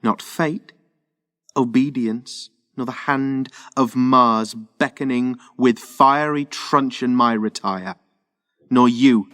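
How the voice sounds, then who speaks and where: A man's voice: medium pitch, slow, fairly bright tone, no echo, studio quality, big-room sound. A man playing a hot-headed young Trojan prince in a Shakespearean audio drama, impassioned and declamatory. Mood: fierce, defiant.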